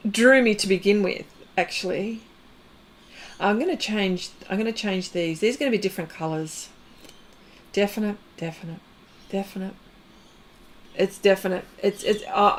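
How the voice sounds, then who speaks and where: A woman's voice: medium pitch, moderate pace, long pauses. A woman hosting an art livestream, chatting casually and rambling as she works, often laughing. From Australia. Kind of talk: casual chat while demonstrating pastel art.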